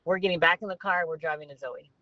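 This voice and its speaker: A woman's voice: on the low side, fast talker, sharp tone, no echo. A middle-aged woman chatting casually as a guest on a phone call. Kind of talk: casual chat. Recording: dull noisy phone call.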